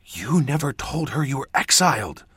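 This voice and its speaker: A man's voice: fairly high pitch, sing-song, normal speed, fairly bright tone, slightly rough, dry recording, pitched above the performer's own voice, full character voice. A man doing an earnest young apprentice girl. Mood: urgent. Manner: whispering, pressing.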